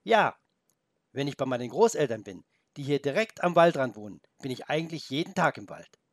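A man's voice: medium pitch, sing-song, fairly quick, dry recording, clean studio sound. A man voicing an earnest, insistent young boy in a children's story, emphatic and easily exasperated as he corrects others. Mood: friendly.

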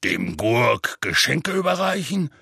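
A man voicing a huge, gruff, good-natured male half-giant who tells a rambling, folksy story in a deep gravelly rumble. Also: sing-song, takes it slowly, bright, forceful tone, no echo, studio quality, full character voice. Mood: telling tale. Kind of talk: audiobook character voice.

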